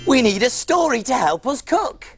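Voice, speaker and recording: A woman's voice: sits high, sing-song, moderate pace, music in the background. A woman playing a cheerful cafe cook on a children's show, talking with enthusiasm.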